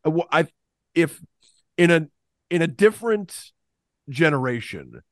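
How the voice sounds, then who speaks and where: A man's voice: a little high, measured pace, no room sound, clean studio sound. A man from the Southern United States, co-hosting a podcast and telling stories with stammering restarts. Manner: stammering restarts, animated storytelling. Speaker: podcast co-host. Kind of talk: casual sports podcast chat.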